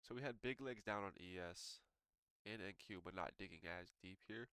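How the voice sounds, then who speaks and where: A man with a low, smooth voice that sounds a little stuffy and congested talks his way through market charts. He's loose and conversational, leaning on "um" and "so". The sound is close and dry, with no room around it. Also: normal speed, bright, forceful tone, no echo. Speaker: day trader reviewing charts. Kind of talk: casual screen-share market walkthrough.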